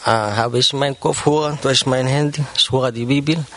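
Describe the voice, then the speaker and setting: A man whose first language is Arabic, speaking to his church congregation in a halting personal testimony, with many pauses and fillers. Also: medium pitch, steady tone, fairly bright tone. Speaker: church member addressing the congregation. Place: church service.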